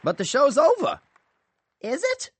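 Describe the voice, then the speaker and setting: A man voicing an excited boy and girl in a squeaky, high, bouncy voice. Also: measured pace, no echo, some chatter behind, full character voice.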